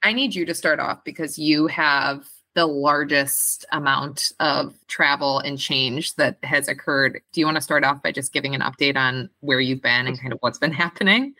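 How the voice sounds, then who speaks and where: Very deep-voiced, bright woman podcast co-host. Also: normal speed, hardly any pauses, dry recording. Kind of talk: casual conversational podcast chat.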